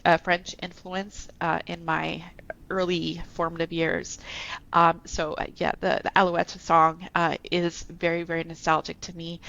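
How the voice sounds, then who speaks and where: A woman's voice: lowish voice, a bit bright, lo-fi sound. A woman from Canada, an author, chatting about her writing as an interview guest with plenty of ums and restarts. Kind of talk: casual interview talk.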